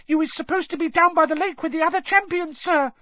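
A narrator voicing a small male house-elf servant, squeaky, earnest and deferential, in an audiobook style. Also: fast talker, dry recording, lo-fi sound. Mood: urgent. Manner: speaks of himself in third person, deferential, broken grammar. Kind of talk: audiobook character voice.

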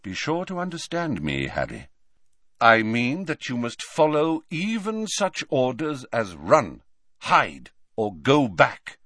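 A man voicing a grave, courteous old wizard headmaster in an audiobook, measured, formal and authoritative. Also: medium pitch, huge pitch swings, a bit bright, dry recording, studio quality, voice pushed up, heavily acted. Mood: grave, commanding. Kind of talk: dramatised audiobook reading.

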